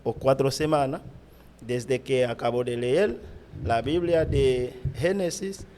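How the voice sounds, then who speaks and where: A middle-aged Haitian man, a pastor whose first language is Haitian Creole, speaking Spanish haltingly with a Haitian accent in an interview. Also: mid-range voice, a little mellow, slight background noise. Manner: halting, searching for words in Spanish. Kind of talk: interview answers.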